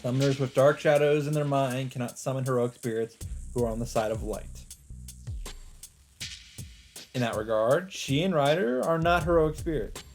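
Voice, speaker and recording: A man's voice: mid-range voice, brisk, a little mellow. A man hosting a let's play, reading visual novel narration aloud and adding his own commentary. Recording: game music behind the voice.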